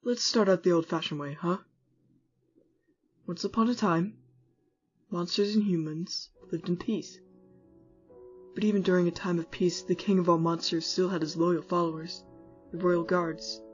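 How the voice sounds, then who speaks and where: An actor plays a laid-back skeleton guy narrating a cartoon comic dub as a wistful older brother. He sounds casual and wry, with music clearly behind a slightly dull recording. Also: medium pitch, fairly even intonation, normal speed, long pauses, lightly acted. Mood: wry, reluctant, then fond, nostalgic. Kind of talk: scripted first-person storytelling in a comic dub. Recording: muffled audio with music underneath.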